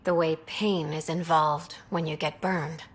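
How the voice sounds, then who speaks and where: A woman's voice: low voice, steady tone, a little slow. An actress voicing a weary, shaken female alien refugee officer in a video game, subdued and bitter. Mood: weary, troubled. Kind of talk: video game cutscene acting.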